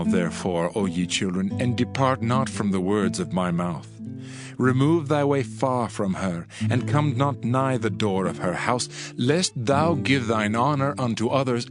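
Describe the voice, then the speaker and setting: A man reading dramatised scripture like a father counselling, in a solemn, admonishing way. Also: mid-range voice. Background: music.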